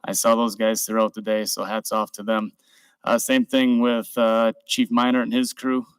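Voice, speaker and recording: A man's voice: lowish voice, little pitch movement, normal speed, smooth, no room sound, poor audio. A man chairing a city council meeting, speaking informally and giving thanks to city staff. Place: council chamber. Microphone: desk microphone.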